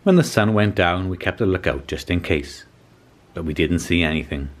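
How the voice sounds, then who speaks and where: A man reading a children's story aloud as scripted narration, in an expressive storytelling manner. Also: low voice, normal speed. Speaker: storybook reader.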